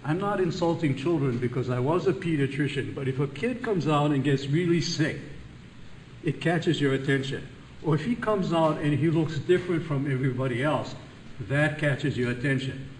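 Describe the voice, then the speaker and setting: An older man from Hawaii with a loud voice, giving a chatty, joking conference lecture as a physician. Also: on the high side, moderate pace, a little hiss or hum. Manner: chatty, self-deprecating, laughs at own jokes. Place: large conference room. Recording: echoey room, voice from a distance.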